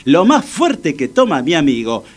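An actor with an Argentine accent playing an excitable, blundering, fiercely loyal best friend, exclamatory and defensive in a comic radio drama. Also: male voice, a little high, normal speed. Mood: indignant, defensive. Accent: Argentine Spanish.

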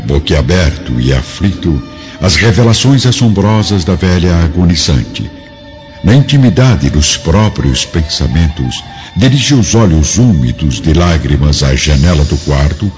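A man narrating a radio drama in a solemn, literary, measured and dramatic style. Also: low voice, darkish tone. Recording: dull radio drama audio with music.

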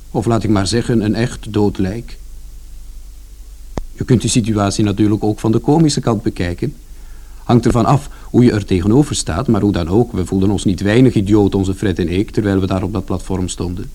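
An actor playing a chatty, wry son narrating a radio play in a colloquial, rambling, matter-of-fact way. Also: male voice, on the low side, warm tone, natural voice. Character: chatty, wry working-class son recounting misadventures.

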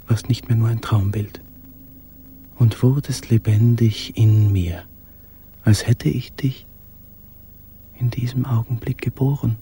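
Ein Schauspieler spielt in einem Hörspiel einen gequälten, sich erinnernden Mann im Versteck, gedämpft, stockend und intim gesprochen. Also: male voice, medium pitch, steady tone, takes it slowly, pauses often, mellow, lightly acted. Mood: haunted, yearning. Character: haunted man in wartime hiding, remembering a beloved. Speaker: actor in a radio play. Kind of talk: literary radio play monologue. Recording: faint background noise.

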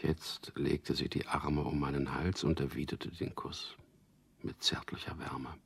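An actor playing an earnest young suitor who narrates a radio drama in an intimate, measured, husky voice. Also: male voice, flat delivery, whispered, exaggerated voice. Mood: reflective narration. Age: young adult. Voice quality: low, gravelly, husky. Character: young propaganda clerk Peter, narrator and tender suitor. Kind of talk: radio drama acting with first-person narration.

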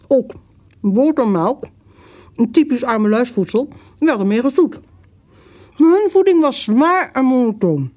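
Female storyteller: bright-toned register on the high side, very varied intonation, scripted narration. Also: animated, measured pace, long pauses.

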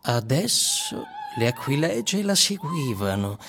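An actor narrating a literary radio drama as an expressive, theatrical storyteller. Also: male voice, fairly high pitch, slow, a little hiss or hum, full character voice. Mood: vivid narration. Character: male storyteller describing surreal singing plants.

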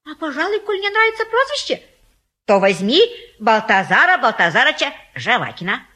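A garrulous old matchmaker woman in a theatrical comedy. Her voice is high and sharp, with big melodic swings. She coaxes and boasts in a folksy, effusive way. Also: sing-song, moderate pace, heavily acted. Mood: effusive selling. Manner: folksy, garrulous, effusive, sing-song coaxing. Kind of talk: theatrical stage-comedy acting of a classic play.

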